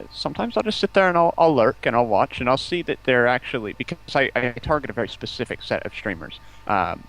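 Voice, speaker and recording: A man's voice: lowish voice, fairly bright tone, rough recording. A man talking casually as a guest in a stream interview, explaining at length in an enthusiastic, rambling way. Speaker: stream guest, community outreach representative. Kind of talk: casual interview talk about game streaming.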